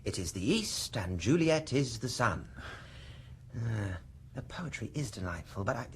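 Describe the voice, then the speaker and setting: A man's voice: medium pitch, very animated, a little slow, slight background noise. An actor playing a thoughtful, self-mocking young male lecturer and writer who hesitates as he talks, in a radio drama.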